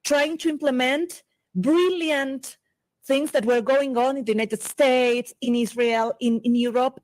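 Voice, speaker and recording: Argentine woman in her 40s, spanish is her first language, giving a conference talk in english, kinda high voice, talks fast, lots of restarts. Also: a little mellow, low-quality recording. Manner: quick, with many restarts and repeated words. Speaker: conference speaker. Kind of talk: conference lecture.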